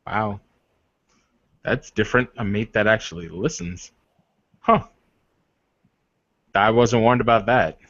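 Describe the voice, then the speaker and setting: A man playing a proud, commanding werewolf warrior leader in a tabletop game, speaking earnestly and formally. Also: a bit bright. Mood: wry. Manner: solemn, deliberate, formal phrasing. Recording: dull, roomy low-fidelity voice recording.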